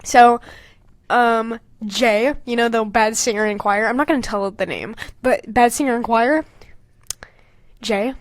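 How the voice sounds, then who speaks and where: A young woman with a high-pitched voice, recorded dry and close. She reads and comments like a casual podcast host, animated and giggly, mocking herself with plenty of swearing. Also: measured pace, no room sound. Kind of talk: casual comedic commentary while reading own old fanfiction aloud.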